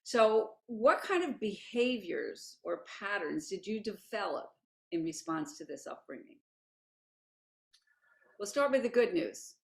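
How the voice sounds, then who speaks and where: A woman's voice: medium pitch, very animated, measured pace, creaky. A woman hosting an interview, steering the talk firmly with structured questions. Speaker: interview host.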